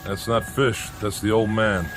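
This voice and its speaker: A man's voice: fairly low pitch, flat delivery, measured pace, music in the background, close to an everyday voice. A man playing an easygoing, conciliatory factory plant manager in a radio drama, talking in a casual, placating way. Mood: placating, wry.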